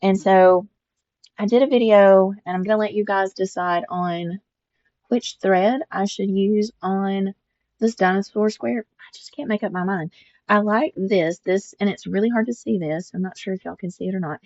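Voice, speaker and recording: A woman's voice: medium pitch, moderate pace, darkish tone, muffled recording. An older woman, chatting casually about her stitching with many restarts and repeats. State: congested. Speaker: embroidery vlogger.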